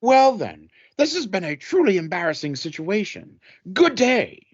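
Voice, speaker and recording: A man's voice: high-pitched, sing-song, a little slow, husky, no room sound, muffled recording, full character voice. A man playing a pompous, lecherous wrestling announcer with a theatrical, suggestive manner in a comedic audio play. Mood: haughty.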